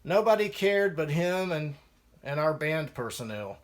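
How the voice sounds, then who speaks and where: A man's voice: a little high, very animated, measured pace. A man reading his own first-person memoir aloud as a musician, in a wry storytelling manner.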